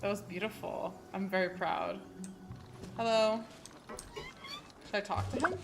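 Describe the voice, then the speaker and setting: A woman live-streaming gameplay in a medium-pitched voice. She talks casually, tossing out short playful reactions and muttered asides. Game music is clearly audible behind her. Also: unhurried. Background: video game music.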